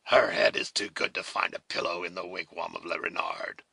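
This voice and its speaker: A man voicing a fierce, malign Huron warrior in a storybook reading, slow, menacing and mocking. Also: low-pitched, steady tone, creaky, bright, forceful tone, husky, no echo, exaggerated voice. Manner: slow, menacing, ironic taunting.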